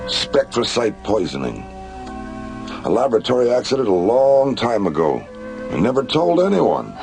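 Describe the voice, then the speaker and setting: An actor playing a calm, authoritative space-agency commissioner in a radio drama. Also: male voice, medium pitch, normal speed, creaky, soft background music. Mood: grave, courteous.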